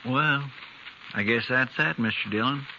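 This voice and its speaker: An actor playing a folksy, respectful American marshal's helper in a 1950s radio western, mild in manner. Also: male voice, medium pitch, measured pace, lightly acted. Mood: mild, puzzled. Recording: muffled, noisy 1950s radio recording.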